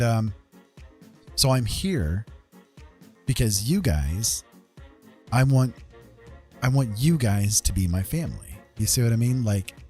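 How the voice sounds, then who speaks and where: A man talking casually and candidly as a livestream host, confiding in listeners in a halting, conversational way. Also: medium pitch, darkish tone.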